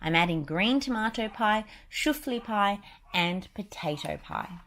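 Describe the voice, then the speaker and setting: A woman's voice: mid-range voice, lively intonation, a little slow, fairly bright tone, pitched above the performer's own voice. A woman voicing a cheerful, enthusiastic male cartoon dog in a children's storybook. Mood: eager. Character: cheerful, eager male cartoon dog running a pie shop.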